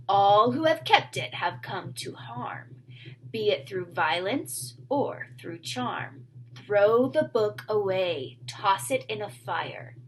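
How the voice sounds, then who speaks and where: A woman plays a pencil-sized little man from a storybook, a solemn house sprite. He speaks in measured, ominous rhymes of warning, over a noisy home recording. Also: medium pitch.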